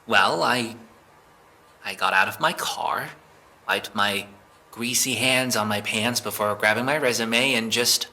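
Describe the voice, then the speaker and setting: A man playing an evasive, jittery young man being questioned by police, halting and defensive, in a naturalistic audio drama. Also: lowish voice, bright, forceful tone, heavily acted. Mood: nervous explaining.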